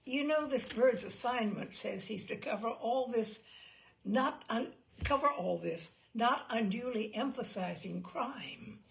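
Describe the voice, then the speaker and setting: A woman voicing a blunt veteran male crime reporter, speaking dry and deliberate. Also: a little high, animated, vocal fry.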